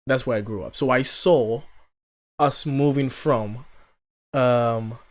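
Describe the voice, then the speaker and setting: A man's voice: mid-range voice, slow pace. A man in his thirties from Ghana, hosting a reaction video in a chatty, rambling, playfully sarcastic way. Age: 30s. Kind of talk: casual reaction commentary.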